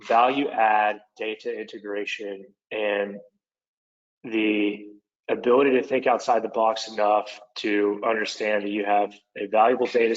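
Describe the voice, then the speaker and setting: A man with an Oklahoma accent, a product manager presenting a webinar in a relaxed, conversational way with frequent fillers. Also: lowish voice. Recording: dull lo-fi webinar audio.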